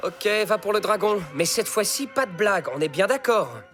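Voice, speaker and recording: A woman's voice: medium pitch, lively intonation, moderate pace, music in the background, close to an everyday voice. A woman voicing a clever, sly young male fox who leads a gang of animals in a cartoon. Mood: confident. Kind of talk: French cartoon dubbing.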